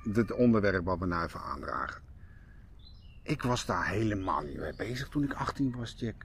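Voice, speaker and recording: A man's voice: low voice, animated, normal speed, creaky, noisy background. A man with a Netherlands Dutch accent co-hosting a podcast in casual chat, emphatic and often repeating himself. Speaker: podcast co-host.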